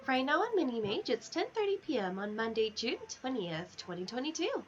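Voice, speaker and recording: A woman's voice: on the high side, very animated, fairly quick, a little hiss or hum, pitched above the performer's own voice. A woman voicing a cheerful, perky female town announcer from a cozy video game, reading announcements in a bubbly, formal manner. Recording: dull, lo-fi headset audio.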